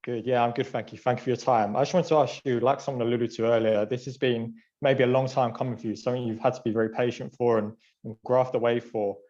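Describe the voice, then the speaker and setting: A man talks quickly in a medium-pitched voice as a sports journalist on a press call. The audio is slightly dull and lo-fi as he puts his conversational questions. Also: steady tone, a little mellow. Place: remote media conference call.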